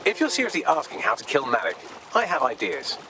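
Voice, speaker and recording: A man's voice: a little high, sing-song, fast talker, creaky, background noise. An actor playing a witty, dry male AI assistant, in a video game. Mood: wry. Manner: dry, sardonic.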